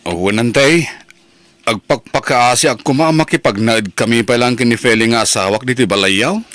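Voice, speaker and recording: A man's voice: mid-range voice, normal speed, fairly bright tone, no echo, natural voice. A man playing an earnest, struggling working husband in an Ilocano radio drama. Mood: earnest, worried. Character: struggling working husband resolved to move home.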